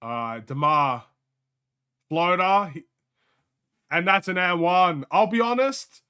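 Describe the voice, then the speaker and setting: A young man from Australia with a light Australian accent, hosting a live game watchalong in casual, excitable play-by-play chat, often laughing. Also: high voice, slow pace, clear, resonant tone.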